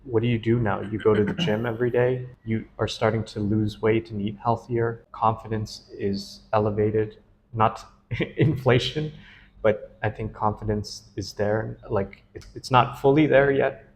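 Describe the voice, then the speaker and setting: A man's voice: lowish voice, steady tone, normal speed, darkish tone, a little hiss or hum. A man, a dream analyst, interviewing a client in an earnest, enthusiastic and easily laughing way. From United States. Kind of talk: casual interview.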